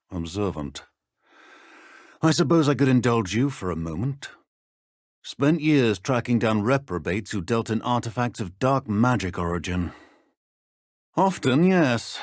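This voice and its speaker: A stern middle-aged British professor of wizardry, with a low voice that moves expressively through formal, dry phrasing. The delivery is measured and the sound is close and dry, like scripted game dialogue. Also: male voice, a little mellow, no echo. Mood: dry, reserved. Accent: British English. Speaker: professor character in a fantasy game.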